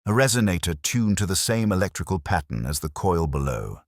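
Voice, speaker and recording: A man narrating a detective story in a measured, solemn, suspenseful way. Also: low voice, very animated, no echo, clean studio sound. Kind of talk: scripted dramatic story narration.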